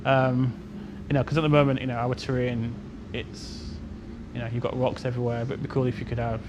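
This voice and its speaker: A man with a middling pitch explains technical matters casually. He hedges often as he goes, over a clearly noisy stream recording. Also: flat delivery, fairly quick. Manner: hedging, frequent "you know". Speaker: game developer on a panel.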